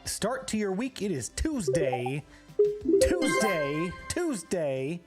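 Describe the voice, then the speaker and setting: A live game streamer in casual chat with viewers, a bit hoarse from recovering from illness, upbeat and greeting people by name one after another. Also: mid-range voice, very animated, slow, over loud music.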